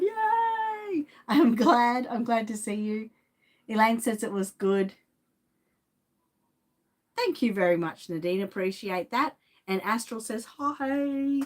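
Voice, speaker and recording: A woman's voice: a little high, measured pace. An Australian woman with a lingering cough chatting casually on a livestream, greeting viewers by name from her home studio. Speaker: reborn doll artist and shop owner.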